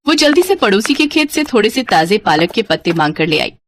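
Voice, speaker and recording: Female narrator for children's tales; animated, expressive scripted delivery, mid-pitched. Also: brisk, a little hiss or hum. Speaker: storyteller.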